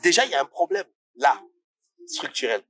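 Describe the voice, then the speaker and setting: A man's voice: a little high, very animated, normal speed, bright, forceful tone, no echo, studio quality. A man from Congo, a musician talking as a guest in a casual interview, passionate and rising to indignant questions.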